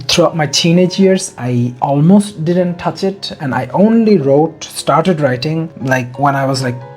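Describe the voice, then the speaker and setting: A man's voice: medium pitch, darkish tone. A man in his twenties from Bangladesh, talking casually and rambling about writing, with self-deprecating asides. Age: 20s. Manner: rambling, self-deprecating, chatty with small laughs. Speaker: novelist vlogger. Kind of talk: casual talk to camera.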